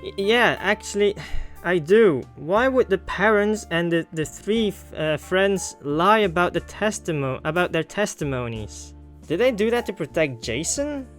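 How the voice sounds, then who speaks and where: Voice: mid-range voice, lively intonation, unhurried, a bit bright, faint music behind. A video game streamer with a casual, sweary manner, thinking aloud while reading through and commenting on a visual novel.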